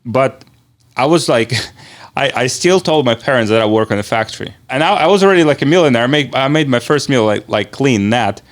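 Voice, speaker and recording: Halting, rambling male interviewer. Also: medium pitch, expressive. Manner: halting, rambling phrasing with repeated filler words. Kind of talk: casual conversational interview.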